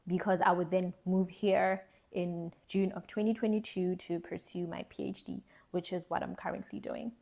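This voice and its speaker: A young woman from South Africa speaks to the camera in an earnest, reflective vlog, at a middle pitch. She thinks aloud, pausing and restarting as she weighs her words. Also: mellow. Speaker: vlogger. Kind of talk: candid reflective monologue.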